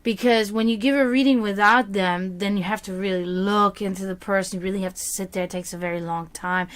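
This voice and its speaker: A woman's voice: mid-range voice, fairly even intonation, brisk, fairly bright tone. Chatty woman, native German speaker. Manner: chatty, rambling, reads chat aloud. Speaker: psychic reader streamer. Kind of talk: casual livestream chat.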